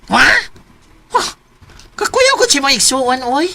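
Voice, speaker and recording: An actress playing a sympathetic, gossipy friend in a conversational radio drama scene. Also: female voice, high voice, big pitch swings, slow, bright, forceful tone, slight background noise, exaggerated voice. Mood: concerned, indignant. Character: sympathetic, gossipy female friend, indignant on her behalf.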